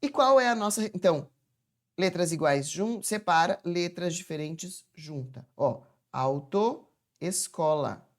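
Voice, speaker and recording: A woman with a somewhat low-pitched voice teaches a grammar lesson from home. She talks to viewers in a chatty, familiar way and slowly stretches words out syllable by syllable to show their sounds. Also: expressive. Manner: chatty, addresses viewers as "gente". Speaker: Portuguese teacher. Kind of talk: informal online grammar lesson.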